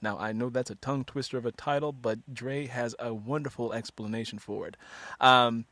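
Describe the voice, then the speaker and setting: A man hosting a conversational author interview, talking in an animated way. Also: mid-range voice, normal speed, dry recording. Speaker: interview show host and novelist.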